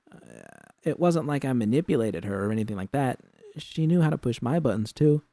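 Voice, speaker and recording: A man's voice: mid-range voice, lively intonation, fairly quick, dark tone, dry recording. A man reading a scripted first-person story as its narrator. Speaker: narrator of fan fiction.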